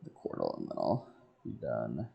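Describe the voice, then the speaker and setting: A man's voice: very deep voice, huge pitch swings, moderate pace, creaky, warm tone, muffled recording. A man streaming casually, thinking aloud and muttering to himself in short fragments. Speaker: game streamer.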